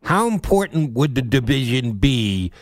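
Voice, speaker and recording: A man's voice: mid-range voice, big pitch swings, a little slow, no room sound, studio quality. A man hosting a sports radio show, asking his guest long, conversational questions.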